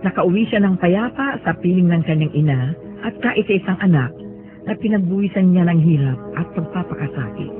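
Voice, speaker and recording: A man narrates a radio drama from a script, dramatic and rhetorical. His high voice moves widely in pitch over prominent music, with a thin, narrow-band sound. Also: lively intonation, fairly quick.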